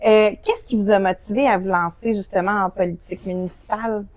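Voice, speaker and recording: A woman's voice: medium pitch, steady tone, fairly quick, a little mellow. A woman hosting a casual interview, friendly and a bit hesitant with many fillers. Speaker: interview host.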